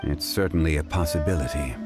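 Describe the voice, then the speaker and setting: A man voicing a calm, dignified scholarly god in human guise for a video game, speaking formally and with measure. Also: rumbling low, fast. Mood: pondering. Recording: faint music behind the voice.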